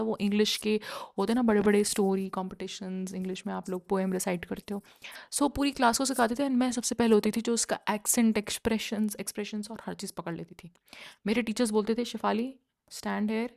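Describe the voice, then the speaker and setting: A woman's voice: medium pitch, creaky, a little mellow. Earnest young woman, chatty vlogger. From India. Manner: chatty, earnest, mixes Hindi and English freely. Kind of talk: casual talking-to-camera vlog.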